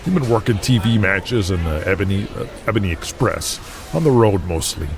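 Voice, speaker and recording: An actor playing brash, excitable young pro wrestlers trading slangy, sweary banter in an audio drama. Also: male voice, sits low, brisk, gravelly, music in the background. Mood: excited bragging.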